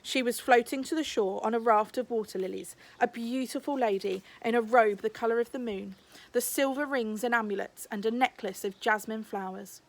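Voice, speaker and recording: A woman's voice: a little high, normal speed. A woman narrating a children's storybook aloud, like a teacher reading to a class.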